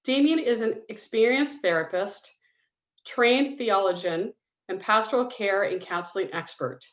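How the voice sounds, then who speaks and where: A woman's voice: medium pitch, unhurried. A woman hosting a webinar, reading a businesslike scripted introduction on a video call. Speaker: public education coordinator and webinar host. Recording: dull roomy video-call audio.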